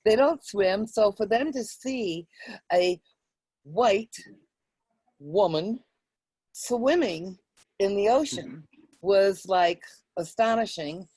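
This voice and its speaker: Slow, mellow-voiced woman, reflective. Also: mid-range voice, animated, slightly rough, no room sound. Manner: hesitant, reflective, halting. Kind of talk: poem reading and casual personal recollection.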